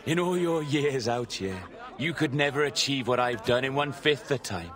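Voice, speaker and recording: A man's voice: mid-range voice, expressive, moderate pace, over music, lightly acted. Man playing a mocking, theatrical pirate villain. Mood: mocking, boastful. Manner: theatrical, taunting. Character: arrogant pirate villain, mocking, boastful, philosophical. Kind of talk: dramatic video game cutscene acting.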